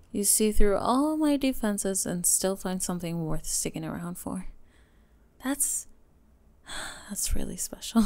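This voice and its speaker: A woman voicing a teenage goth bully with a secretly tender, flustered side, in an ASMR romance roleplay full of giggles and hushed confessions. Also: medium pitch. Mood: vulnerable, tender. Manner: breathy giggles, hushed confessions, playful sarcasm.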